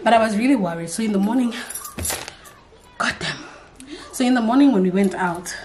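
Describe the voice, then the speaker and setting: A woman's voice: medium pitch, a little fast, darkish tone, slight background noise. Chatty woman vlogging. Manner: chatty storytelling, switching into Setswana phrases. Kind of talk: casual chat to camera. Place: home kitchen and living room indoors. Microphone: camera on tripod nearby.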